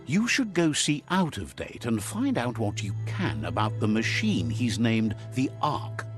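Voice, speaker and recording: A man playing the wry, sage narrator of an animal fable game, telling it in a measured, philosophical storybook style. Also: medium pitch, sing-song, soft background music. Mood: gently guiding.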